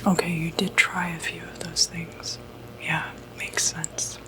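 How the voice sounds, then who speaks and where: A woman whispering breathily in an ASMR medical roleplay, playing a reassuring doctor with a hesitant, gentle bedside manner. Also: on the low side, level tone, slow, poor audio. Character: calm, reassuring doctor who treats demonic possession.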